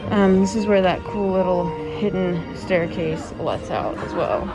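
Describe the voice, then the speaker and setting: A woman's voice: lowish voice, expressive, measured pace, over music, poor audio. A woman walking through a cruise ship, filming and chatting as she points out each spot. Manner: chatty guide pointing things out. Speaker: vlogger giving a ship tour. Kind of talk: walking tour narration.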